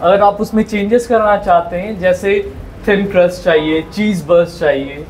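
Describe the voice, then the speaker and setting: A man at a restaurant walks through the menu, rattling off combos and prices in an easy sales patter. His voice is high for a man. Clear restaurant chatter and clatter sit behind him. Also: moderate pace, a little mellow, slight background noise, rough recording. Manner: salesy, listing prices and deals. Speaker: restaurant staff. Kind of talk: explaining menu and offers.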